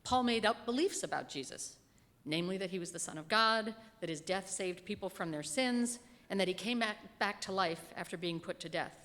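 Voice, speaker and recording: A woman's voice: medium pitch, a bit bright. A middle-aged woman reads a written sermon aloud from a lectern, speaking into a pulpit microphone in a church hall. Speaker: lay reader delivering a minister's sermon. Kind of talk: sermon read from a script at a lectern. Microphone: gooseneck lectern microphone. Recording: echoey hall with long reverb.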